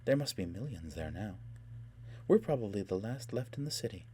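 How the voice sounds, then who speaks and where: A man voicing a haughty, rapturous young noblewoman in an audiobook, with a soft, husky voice and a languid, taunting delivery. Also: fairly low pitch, lively intonation. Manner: theatrical, languid, taunting, with drawn-out words.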